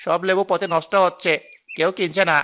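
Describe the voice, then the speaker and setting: A greedy village lemon seller rattles on in a quick, bright, sharp voice, as a man acts him out. He talks fast and pleads a lot. It plays out in a storybook cartoon. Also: high-pitched, little pitch movement, slight background noise. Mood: remorseful. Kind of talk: cartoon voice acting.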